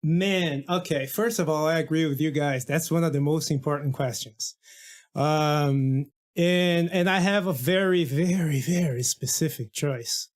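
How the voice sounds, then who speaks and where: Animated Brazilian man guest. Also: on the high side, unhurried. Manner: enthusiastic, talks in restarts and fillers. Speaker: guest musician. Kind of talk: casual podcast interview.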